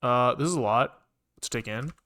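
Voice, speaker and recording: A man's voice: mid-range voice, moderate pace, fairly bright tone. A man thinking aloud as he programs on a livestream, muttering code in fragments and swearing casually, close to a desk microphone.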